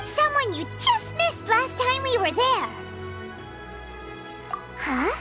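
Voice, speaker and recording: A woman voicing a tiny, excitable fairy girl companion in a video game, squeaky and childlike, calling herself by name. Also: slow, over music, full character voice. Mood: excited, chatty. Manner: refers to herself by name, exclamatory.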